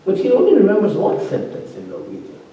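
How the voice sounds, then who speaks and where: A man's voice: sits high, wildly swooping pitch, fast talker, highs cut off, crowd noise. A man serving as faculty opponent at a thesis defense, talking through it discursively with many fillers and restarts, heard from a distance in a large lecture room. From immigrant living in Norway. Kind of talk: spoken academic commentary. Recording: distant, roomy lecture-hall sound.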